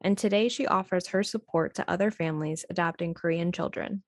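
A woman's voice: fairly low pitch, fairly quick, no room sound. A woman hosting with scripted podcast-style narration. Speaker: host and narrator. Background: music.

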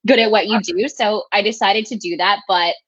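A woman's voice: medium pitch, fairly even intonation, moderate pace, fairly bright tone, husky, dry recording. A woman from Texas speaks as a podcast guest, recounting her own path in a candid, reflective way. Her voice sounds close and dry, with a slightly dull, muffled tone from the remote call. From El Paso, Texas. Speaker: guest, recruiter. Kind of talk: casual interview storytelling.

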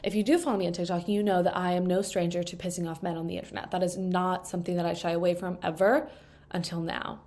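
A woman's voice: fairly low pitch, flat delivery, fast, darkish tone. A young woman in her twenties hosting a casual solo podcast, stuffed up from allergies, rambling in a chatty way with lots of fillers, recorded on a microphone propped on a table. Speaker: podcast host. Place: indoors at a table.

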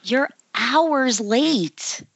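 Woman playing a sharp, sarcastic, annoyed young coworker. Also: high-pitched, lively intonation, drawn-out words, husky, full character voice. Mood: irritated sarcasm. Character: pissed-off, sarcastic young waitress friend. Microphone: Skype call. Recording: dull internet call.

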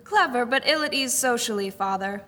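A fretful, nagging mother, played by a woman, with a high, bright voice. It is comic radio drama, ringing with long reverb. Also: lively intonation, normal speed.

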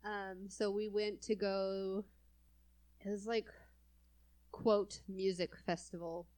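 A woman's voice: medium pitch, little pitch movement, slow, darkish tone, poor audio. A young adult woman from California chatting casually as a co-host, laughing often between phrases. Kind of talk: casual chat.

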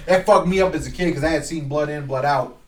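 A man's voice: fairly high pitch, brisk, recorded from a distance. A man co-hosting a podcast in casual, profane banter, swearing with emphasis. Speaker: podcast co-host. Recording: roomy echo, off the mic.

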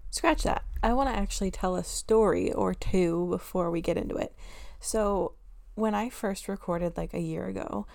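A young woman hosting her own podcast, chatting casually and giggling, her voice raspy today with lots of filler words. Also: medium pitch, normal speed, darkish tone. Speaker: podcast host. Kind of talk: casual solo podcast chat.

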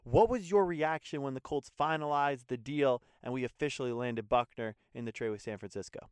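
A young podcast host from New Jersey asks his prepared questions quickly in a smooth, clear voice. The sound is close and dry, with no room around it. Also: mid-range voice, dry recording. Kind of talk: scripted interview questions.

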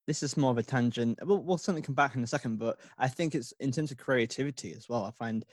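A man's voice: medium pitch, fast, no room sound, clean studio sound. A young man hosting a casual podcast interview, agreeable and easygoing, with a lot of ums and uhs. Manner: easygoing, agreeable, many fillers. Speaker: podcast host.

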